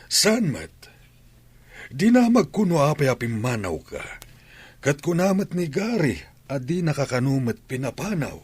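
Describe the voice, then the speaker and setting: A man acts as an older, reasoning father in a radio drama. He has a husky, expressive voice and an Ilocano accent. The sound is close and dry. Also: on the high side, measured pace, long pauses, dry recording, full character voice. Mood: reasoning, firm.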